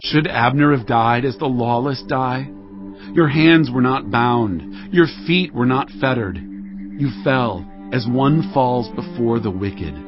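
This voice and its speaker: A man's voice: medium pitch, slow pace, close to an everyday voice. Male actor, righteous solemn king, weighty declamation, audio Bible drama, slightly dull sound, background score. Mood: mournful. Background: background music.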